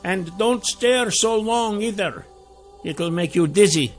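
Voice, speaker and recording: A man's voice: high voice, expressive, takes it slowly, faint music behind, pitched above the performer's own voice. A man voicing an irritable, prim elder wizard in a fantasy audiobook.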